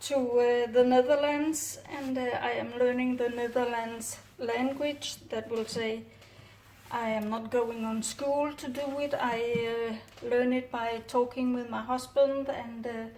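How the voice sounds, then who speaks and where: A middle-aged Danish woman speaking English with a Danish accent, calmly narrating her work at home with many hesitant "uh" fillers. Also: fairly high pitch, unhurried. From Denmark. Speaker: orchid grower. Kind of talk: casual hands-on narration. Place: home kitchen counter, indoors. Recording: roomy distant camera audio, faint noise.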